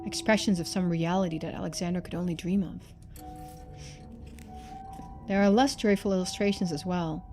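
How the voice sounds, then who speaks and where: A woman's voice: lowish voice, fairly even intonation, normal speed, pauses often, mellow, faint music behind. A woman streamer giving casual playthrough commentary, thinking aloud and reading game text out.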